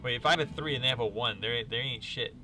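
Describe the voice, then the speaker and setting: A man's voice: medium pitch, fast, over music, rough recording. A man chatting casually while he plays a game, with slangy, sweary banter. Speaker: gaming show host, player. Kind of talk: casual chat while playing a card game.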